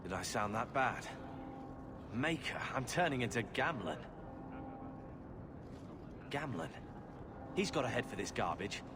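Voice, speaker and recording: A man's voice: medium pitch, sing-song, unhurried, pauses often, noisy background. A man voicing a blunt, sardonic young human warrior in a video game. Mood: sullen. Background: background music.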